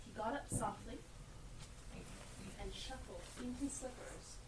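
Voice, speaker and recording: A woman's voice: on the high side, measured pace. Distant, echoey woman narrator. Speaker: stage narrator. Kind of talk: scripted storytelling narration in a live play. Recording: echoey stage, distant mic, clear noise.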